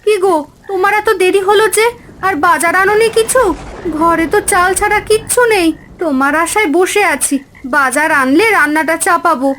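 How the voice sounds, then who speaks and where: A woman's voice: extremely high pitch, normal speed, a bit bright. A woman playing an anxious, nagging wife and mother from a poor household in a fairy-tale cartoon. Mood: impatient. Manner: fretful, complaining.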